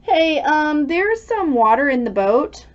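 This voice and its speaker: A woman voicing an excitable storm gauge that calls out urgent, exaggerated danger warnings in a children's story. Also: high-pitched, slow pace, dull audio, voice pushed up, full character voice. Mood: nervous warning. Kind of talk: children's storybook read-aloud.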